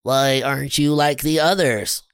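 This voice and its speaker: Storybook alien scientist, male reader: small, earnest and fretful, formal delivery with some creak, close dry sound. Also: medium pitch, steady tone, unhurried, no room sound, natural voice. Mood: earnest explaining. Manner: formal, stilted phrasing.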